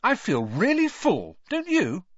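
A man's voice: sits high, wildly swooping pitch, a little slow, dry recording, muffled recording, voice pushed up, full character voice. A man voicing a cheeky, coolly defiant teenage wizard boy in an audiobook, speaking in an offhand, pointedly casual way. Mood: bright, gleeful.

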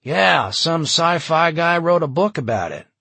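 Audiobook bit part: credulous townsperson, played by a male narrator, excitable, raised pitch, dry recording. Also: measured pace, vocal fry, breathy edge, no echo, exaggerated voice. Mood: excited, credulous.

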